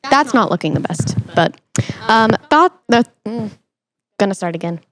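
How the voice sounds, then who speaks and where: A woman's voice: mid-range voice, animated, moderate pace, crowd noise. A young woman hosting a podcast, chatting casually with an encouraging, affirming manner. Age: young adult. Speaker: podcast host. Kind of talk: casual interview chat.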